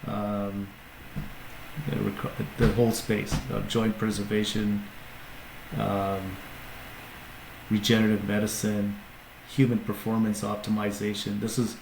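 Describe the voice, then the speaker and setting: A man with a low, gravelly voice explains his company as a podcast guest, stopping and restarting his sentences. The room is echoey, with faint ambient noise behind him. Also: monotone, a little slow, long pauses, darkish tone. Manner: explanatory, many restarts and fillers. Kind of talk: casual interview talk.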